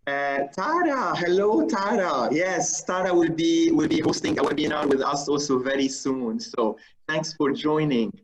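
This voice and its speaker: A man hosting a webinar on a video call who runs an audience poll in a procedural way, pausing with fillers as he reads the results. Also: a little high, animated, poor audio. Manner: procedural, pauses with fillers while reading the results. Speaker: webinar host. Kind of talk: moderating a live webinar poll.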